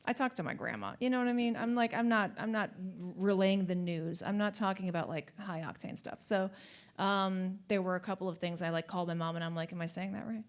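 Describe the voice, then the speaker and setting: New york gal rambling and giggling on a con panel, restarts a lot. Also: female voice, mid-range voice, a little fast. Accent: American. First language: Russian. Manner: rambling, self-interrupting, giggly. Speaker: voice actress panelist. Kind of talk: casual panel chat. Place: convention panel stage.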